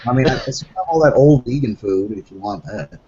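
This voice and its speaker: A man's voice: mid-range voice, fairly quick, warm tone. A man chatting casually as a player in a tabletop role-playing session. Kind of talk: casual game-session chat. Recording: dull, noisy voice chat with clicks.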